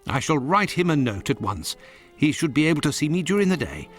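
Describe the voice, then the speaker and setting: Earnest British doctor, lively intonation. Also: male voice, fairly high pitch, brisk, breathy edge, faint music behind. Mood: resolute. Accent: British English. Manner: earnest, polite, inquiring. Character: earnest, concerned male Victorian English doctor, detective's companion. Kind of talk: dramatic video game dialogue.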